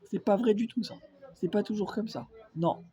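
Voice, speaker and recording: A man's voice: high voice, moderate pace, dark tone, lo-fi sound, faint music behind. A young man with a cold, rambling through an opinion vlog full of fillers and insistent repeats. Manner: hesitant, many fillers, insistent. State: has a cold. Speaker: vlogger.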